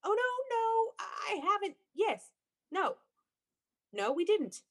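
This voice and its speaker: A high, very animated voice from an actress plays an unhinged young woman in a classic radio melodrama. She is breathless, intense and theatrical, convinced of a dark power inside her. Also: slow, full character voice. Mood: shocked, anxious. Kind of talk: melodramatic old-time radio play acting.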